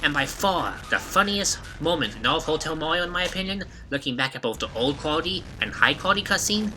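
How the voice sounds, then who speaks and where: A man's voice: on the high side, animated, fast, loud music behind the voice. A man hosting a game retrospective, giving scripted commentary with casual asides in a jokey, mock-dramatic manner.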